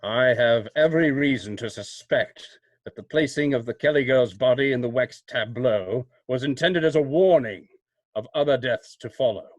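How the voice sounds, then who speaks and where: An actor playing a mysterious, self-assured vigilante in an old-time radio mystery, speaking in a formal, portentous, deliberate way. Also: male voice, medium pitch, dry recording, close to an everyday voice, low-quality recording. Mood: stern, warning.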